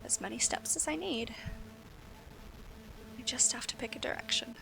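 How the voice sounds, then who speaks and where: A woman's voice: on the high side, moderate pace, creaky, background noise. An actress playing an anxious, self-berating young girl in an audio drama, muttering breathily to herself. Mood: anxious, resolving.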